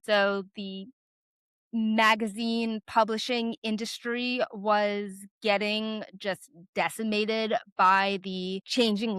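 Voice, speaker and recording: A woman in her forties with a naturally loud voice, frankly telling a personal story on her vlog with lots of casual fillers. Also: mid-range voice, clear, resonant tone, dry recording. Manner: chatty, frank, many "like" fillers. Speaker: vlogger.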